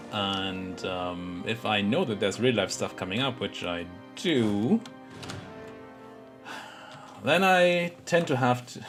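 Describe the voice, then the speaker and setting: Hoarse low-voiced man muttering gameplay thoughts. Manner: muttering thoughts aloud, self-talk with fillers and restarts. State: has a cold, voice a bit hoarse. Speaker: let's play gaming commentator. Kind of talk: casual live gameplay commentary.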